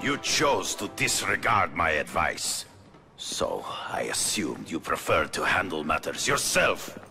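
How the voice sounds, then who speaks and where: Low creaky voice, cold ruthless mentor in a game, slow and domineering. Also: male voice, sing-song, over music, full character voice. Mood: cold, stern. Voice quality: gravelly. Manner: measured, domineering. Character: stern, ruthless male hunter mentor, cold and commanding. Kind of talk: video game cutscene acting.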